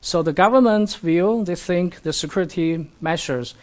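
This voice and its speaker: A man whose first language is Chinese, giving an academic lecture in halting English with many fillers and asking the audience questions. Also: high-pitched. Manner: halting non-native English with frequent fillers.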